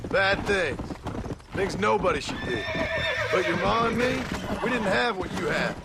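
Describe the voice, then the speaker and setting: An actor playing a stern, gruff rancher and reformed outlaw in a western video game, with an American Western drawl and a scolding, sardonic manner. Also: male voice, sits high, expressive, a little slow, very noisy, exaggerated voice. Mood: scolding, protective. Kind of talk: video game dramatic acting. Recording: outdoor ambience with horse hooves.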